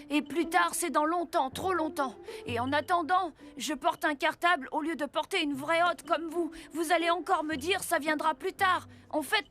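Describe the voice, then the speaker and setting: A woman's voice: high voice, a bit bright. A woman voicing an earnest, impatient young boy in a French children's cartoon. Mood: frustrated, defiant. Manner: earnest, eager, insistent. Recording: music behind the voice.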